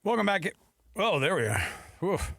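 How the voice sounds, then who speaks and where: A man's voice: high voice, very animated, moderate pace, gravelly, music in the background. An American man hosting sports radio, talking casually and conversationally on a close studio mic, with rhetorical questions and asides. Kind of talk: casual sports talk commentary. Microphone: close studio headset mic.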